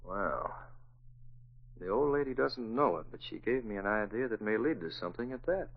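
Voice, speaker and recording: A man's voice: fairly low pitch, expressive, normal speed. A man with an American accent playing a suave young newspaper publisher in a muffled, hissy 1940s radio drama. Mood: pondering.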